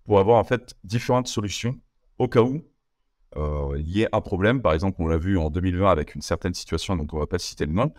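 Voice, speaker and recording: A young man from France with a very low voice talks fast in a casual interview, stumbling through fillers and restarts. The sound is close and dry, with no room around it. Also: dry recording. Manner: hesitant, many fillers and restarts. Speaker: interview guest, entrepreneur.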